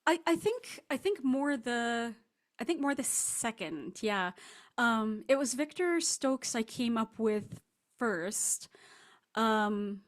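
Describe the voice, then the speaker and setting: A woman speaking as an author guest in a casual, reflective interview, animated and thinking aloud as she explains her writing. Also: fairly high pitch, slow. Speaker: author guest discussing her short story.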